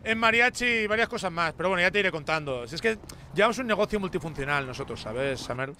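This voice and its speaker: Lively, bright-voiced Spanish man. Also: high voice, quick pace, slight background noise. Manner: smooth-talking, laughs often. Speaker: streamer. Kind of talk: game roleplay. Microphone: headset.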